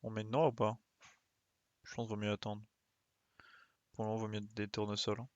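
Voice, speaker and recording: A man's voice: fairly low pitch, level tone, fairly quick, no echo, highs cut off. A man giving casual live commentary as he plays a game, muttering short interjections as he thinks aloud. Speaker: game streamer.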